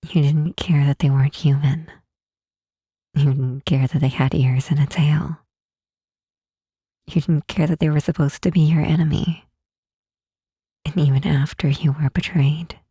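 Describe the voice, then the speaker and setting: A woman playing a gentle, reassuring Demon Queen in an ASMR comfort roleplay, speaking in a soft, husky half-whisper with slow, soothing earnestness. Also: low voice, steady tone, dry recording, studio quality, full character voice. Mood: tender, reassuring.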